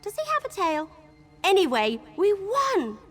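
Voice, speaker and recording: Game heroine, high voice, very animated, chatty, eager, enthusiastic, faint music behind. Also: female voice, measured pace, full character voice. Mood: upbeat, relieved. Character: upbeat, eager female adventuring companion. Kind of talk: scripted fantasy game dialogue.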